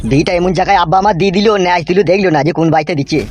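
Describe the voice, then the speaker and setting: A man's voice: high voice, steady tone, very fast. A man voicing a crude, henpecked young husband who complains in exasperation in a comedy cartoon, with a rural West Bengal accent. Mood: complaining.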